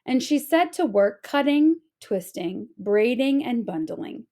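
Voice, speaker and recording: A woman narrating a children's storybook aloud in an expressive, deliberate storytelling style. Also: on the high side.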